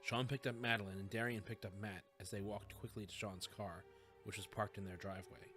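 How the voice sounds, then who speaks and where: A man's voice: fairly low pitch, steady tone, fairly quick, over music. A man reading scripted fiction as an audiobook narrator.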